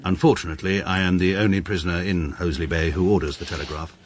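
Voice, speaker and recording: A man's voice: deep voice, steady tone, fast, a little hiss or hum, natural voice. A man playing a pedantic, pompous English barrister. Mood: dry. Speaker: narrator and lead character. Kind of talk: radio drama narration.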